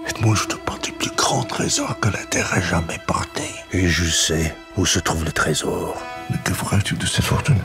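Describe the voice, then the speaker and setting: An actor playing a wise old imprisoned priest in a film trailer, speaking in a hushed, grave voice. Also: male voice, deep voice, a little fast, few pauses. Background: trailer music.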